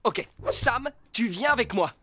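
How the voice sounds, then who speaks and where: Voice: mid-range voice, a little slow. An actor voicing a crafty, boastful fox who leads a gang in a cartoon. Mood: eager. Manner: boastful, animated. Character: cocky, crafty fox, the scheming leader. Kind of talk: French-dubbed cartoon acting. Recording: loud cartoon music behind.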